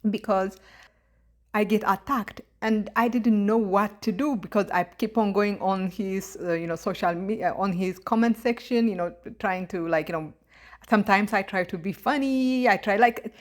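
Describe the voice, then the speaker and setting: A young woman with a thick East African accent speaks in a casual, unscripted monologue. She sounds indignant and frustrated, and often stops, restarts and fills gaps with "um" and "you know." Her pitch sits in an ordinary middle range for a woman. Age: young adult. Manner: indignant, halting with many fillers and restarts. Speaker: YouTube commentator.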